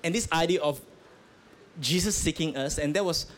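A man's voice: a little high, normal speed, a bit bright, slight background noise. A man from Malaysia, a pastor, answering interview questions earnestly, with hesitant restarts and fillers, outdoors at a festival. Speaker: pastor, guest.

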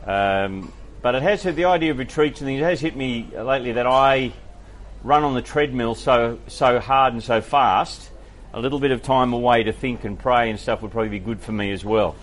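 A man's voice: medium pitch, normal speed, a little hiss or hum. A man, a pastor, answering faith questions from the congregation in a thoughtful, hesitant way with many fillers. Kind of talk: Q&A sermon.

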